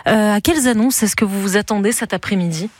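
A woman's voice: mid-range voice, quick pace. A woman interviewing a guest on live radio news, posing questions as the journalist.

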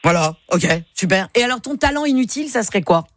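A woman's voice: fairly high pitch, lively intonation, fast. A woman hosting a radio game show, chatting and teasing callers playfully. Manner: playful, teasing, sings along badly. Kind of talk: lively radio game chat.

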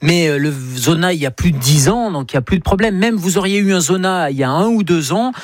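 A man's voice: high-pitched, lively intonation, fast, dry recording. A male doctor giving reassuring medical advice to listeners on a radio show. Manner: reassuring, explanatory.

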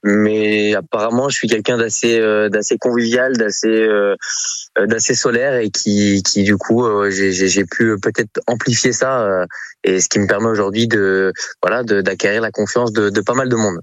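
A man's voice: on the low side, steady tone, normal speed, no echo. A man from Belgium with a faint Belgian accent, answering casually and hesitantly as a guest in a radio interview. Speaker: interview guest, real estate agent.

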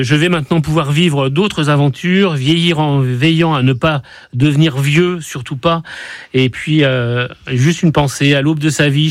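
A man in his sixties, a retiring radio host with a slight cold, giving a halting, heartfelt farewell on live air. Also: on the high side, dry recording. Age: 60s. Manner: halting, heartfelt, many restarts. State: has a cold. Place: radio studio.

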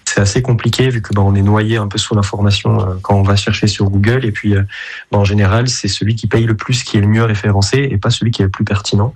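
Guy from belgium on local radio, lowish voice, lots of euh and ben, kinda halting. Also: steady tone, darkish tone, breathy edge. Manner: hesitant, many fillers and restarts. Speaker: app founder guest. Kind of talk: radio interview answers.

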